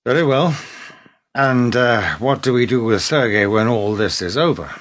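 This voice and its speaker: A man playing a reserved, questioning British spy in a radio play, measured, polite and probing. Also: mid-range voice, expressive, a bit bright, close to an everyday voice. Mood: questioning, disapproving.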